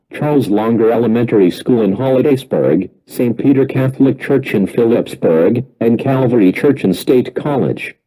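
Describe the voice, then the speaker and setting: A man reading a scripted emergency alert announcement in a formal, measured, impersonal way. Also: mid-range voice, mellow, rough recording.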